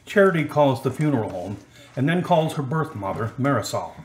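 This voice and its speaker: A man's voice: mid-range voice, sing-song, brisk, a little mellow, slight background noise. A man giving scripted radio drama narration in an echoing space. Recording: roomy, echoing recording.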